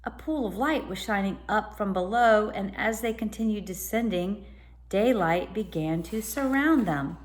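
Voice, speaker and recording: A woman with a mid-pitched voice reads a children's story aloud. She narrates like a teacher and stops for short explanatory asides. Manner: pauses to explain words to listeners.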